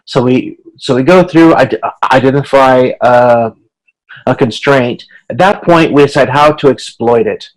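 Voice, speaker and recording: Male instructor, mid-range voice, informal explanatory delivery, halting and restarting often. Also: medium pitch, poor audio. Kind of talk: informal explanatory lecture over video conference.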